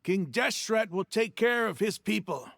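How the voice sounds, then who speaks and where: A man voicing a brash, zealous, gruff desert mercenary leader in an anime video game. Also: sits high, lively intonation, a little slow, fairly bright tone, dry recording, studio quality, exaggerated voice. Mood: zealous, dismissive. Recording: game audio with music behind.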